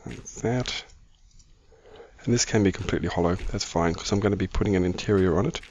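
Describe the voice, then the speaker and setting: A middle-aged man with a croaky voice, giving casual, easygoing gaming commentary as the host of a video. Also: sits low, brisk, darkish tone, muffled recording. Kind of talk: casual Minecraft let's-play commentary.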